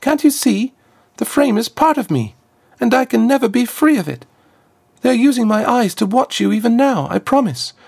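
A man voicing a young woman locked inside a brass frame, desperate and strained, with a dull raspy edge. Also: very high voice, animated, moderate pace, a little mellow, pitched above the performer's own voice, full character voice.

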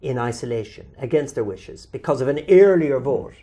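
A man from Ireland hosting a conversational discussion and answering viewer questions, with a measured, lively delivery and drawn-out emphasis. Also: mid-range voice.

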